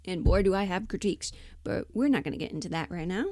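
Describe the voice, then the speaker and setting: Woman, lowish voice, dry close-mic sound, animated, opinionated, casual livestream rambling. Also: fast talker, no echo. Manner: animated, opinionated, swears, emphatic. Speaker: livestream host and voice performer.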